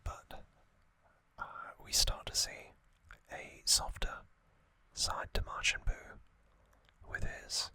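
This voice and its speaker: Slow whispering man, ASMR host. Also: no room sound. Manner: soft whisper with frequent ums and uhs. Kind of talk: whispered ASMR trivia narration. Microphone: close sensitive mic.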